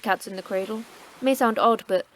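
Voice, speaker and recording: An actress playing a terse, cryptic station manager who trains in martial arts, speaking in a curt, dry, sardonic way. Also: female voice, mid-range voice, moderate pace, slight background noise, natural voice. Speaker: station manager of a secret facility.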